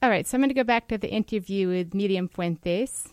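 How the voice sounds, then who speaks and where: A woman hosting a community radio show, calmly introducing segments and giving plain station announcements. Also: mid-range voice, brisk, loud music behind the voice. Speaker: radio host. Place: radio studio.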